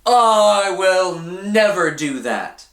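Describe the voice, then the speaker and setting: A man voicing a brash, headstrong fisherman disciple in a children's storybook, emphatic and drawn-out in his insistence. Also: high-pitched, lively intonation, fairly bright tone, echoey room, voice pushed up, exaggerated voice. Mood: insistent.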